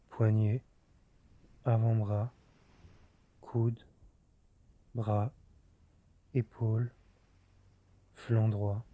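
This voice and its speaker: A man guiding a yoga nidra relaxation in a soft, soothing, unhurried manner, leaving long pauses. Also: fairly low pitch, lo-fi sound. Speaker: meditation guide.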